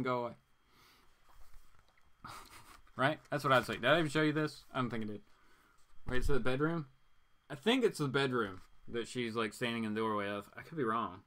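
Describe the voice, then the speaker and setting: A man's voice: medium pitch, fairly bright tone. A man hosting a live show, casually reading comics aloud and commenting with mock-incredulous asides.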